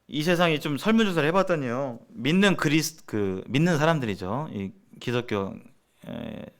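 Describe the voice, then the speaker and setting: A man preaching and teaching at a church seminar in an earnest, emphatic, exhorting way, repeating key words deliberately. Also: on the high side, animated.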